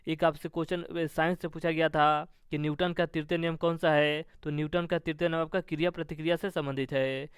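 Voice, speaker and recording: A man with a slightly high, clear voice works through an exam review as a coaching teacher. His intonation stays very flat as he methodically reads out each question and follows it with the answer. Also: a little fast, few pauses, dry recording, studio quality. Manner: methodical, repetitive question-then-answer delivery.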